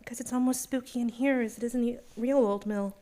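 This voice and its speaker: An actress playing an anxious, loyal young secretary in a radio suspense play, urgent and earnest. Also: female voice, on the high side, fairly even intonation, fairly quick, mellow, close to an everyday voice. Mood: nervous.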